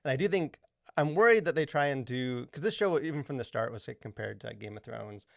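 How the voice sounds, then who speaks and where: Gravelly man in rambling casual chat. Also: medium pitch, lively intonation, fairly quick, dry recording, studio quality. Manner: rambling, filler-heavy, many restarts. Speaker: podcast co-host.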